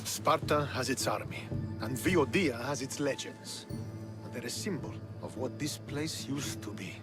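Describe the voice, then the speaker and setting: An actor playing a stern, dismissive Spartan army commander in a video game, speaking curtly and skeptically. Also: male voice, medium pitch, measured pace, over loud music, natural voice. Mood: grave, businesslike. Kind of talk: dramatic video game dialogue.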